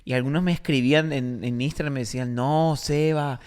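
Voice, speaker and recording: A man with a Paraguayan Spanish accent speaks at a middling pitch. He hosts a religious podcast as a pastor, talking conversationally and repeating phrases for emphasis as he tells stories. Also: steady tone, a little fast, a little mellow, clean tone. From Paraguay, born in Argentina.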